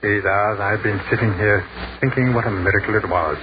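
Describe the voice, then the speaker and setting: A man acting a thoughtful, educated army captain in a 1940s radio drama, speaking in measured, articulate phrases. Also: lowish voice, lightly acted. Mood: calm, explanatory. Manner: measured, articulate, eloquent speech. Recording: noisy muffled 1940s radio recording.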